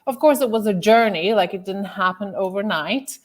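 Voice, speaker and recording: A woman from Turkey, around her thirties, chatting as an interview guest. Her voice sits at an ordinary middle pitch. She tells her story loosely, full of fillers and restarts. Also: moderate pace. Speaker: guest, author and transformational coach. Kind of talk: casual interview chat.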